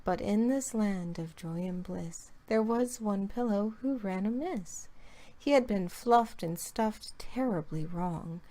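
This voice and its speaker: A woman reading a rhyming creepy poem very slowly, taking her time over every line. Her voice sits in a middle pitch, and she drops to a whisper here and there. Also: mellow. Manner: slow, drawn-out delivery with whispered lines. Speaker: guest reader.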